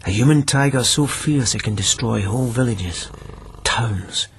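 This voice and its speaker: Man playing gentle lovelorn police officer, radio play, wry and measured, middling pitch, bit of background noise. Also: natural voice. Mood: wry musing. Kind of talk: radio drama acting.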